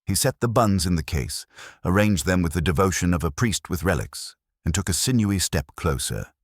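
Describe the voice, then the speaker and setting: Very deep-voiced male mystery narrator. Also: lively intonation, moderate pace, no echo, studio quality. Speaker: audiobook narrator. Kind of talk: scripted first-person detective story narration.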